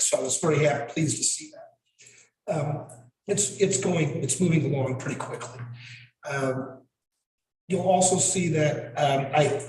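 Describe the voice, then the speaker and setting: A man's voice: fairly high pitch, darkish tone. A man who is losing his voice gives a verbal staff report as town administrator at a board meeting, with many ums and restarts. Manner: halting, many fillers and restarts. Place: council meeting room. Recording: echoey meeting room, mic far off.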